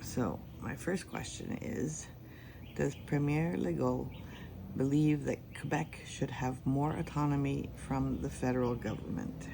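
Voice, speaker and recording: Slow gravelly-voiced Canadian man. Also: mid-range voice, noisy background. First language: English. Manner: slow, deliberate question-and-answer delivery. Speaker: spiritual reader. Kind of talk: pendulum reading with personal commentary.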